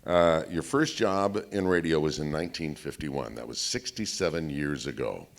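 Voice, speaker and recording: A man's voice: extremely low pitch, normal speed, vocal fry. A man hosting a conversational interview, putting questions to a guest. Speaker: interviewer, host.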